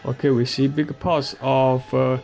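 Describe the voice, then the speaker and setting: Chinese-accented male vlogger, middle-aged, unhurried and gravelly, outdoors. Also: mid-range voice, level tone, a little mellow, a faint crowd. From China. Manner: slow and halting, with many fillers. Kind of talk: walking tour narration. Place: outdoor park.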